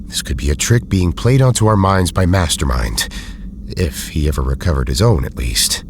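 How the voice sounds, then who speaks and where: Casting: erudite, guarded mutant hero, rock-bottom gravelly pitch, formal diction, audible background noise. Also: male voice, expressive. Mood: suspicious, sorrowful. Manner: formal, measured, articulate. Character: learned, cautious, formal male mutant hero. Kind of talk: audio drama acting.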